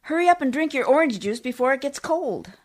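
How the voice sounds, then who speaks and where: A woman's voice: sits high, animated, brisk. A woman playing a bossy, needling housewife in a 1940s-style radio comedy, with a pointed, scolding delivery. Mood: brisk nagging. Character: bossy, needling, nagging housewife, unflappably critical. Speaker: community theatre actor.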